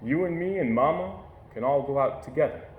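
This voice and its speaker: A man's voice: on the high side, lively intonation, a little fast, phone-quality sound, close to an everyday voice. A man voicing a kindly, patient father with an easygoing, reassuring manner, recorded in an echoey room with faint hiss.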